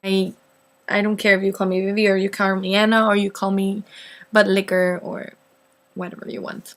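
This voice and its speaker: A woman's voice: mid-range voice, level tone, moderate pace. A woman in her twenties from northeast Mexico, a native Spanish speaker, chatting casually and ramblingly to camera in a self-deprecating way. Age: 20s. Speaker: vlogger answering viewer questions.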